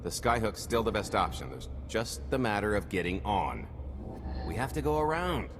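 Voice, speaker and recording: An actor playing a roguish, glib smuggler who is no longer young, in a video game cutscene, with a breezy, sardonic way of talking. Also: male voice, on the low side, sing-song, moderate pace, big-room sound, background noise. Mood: urgent, glib.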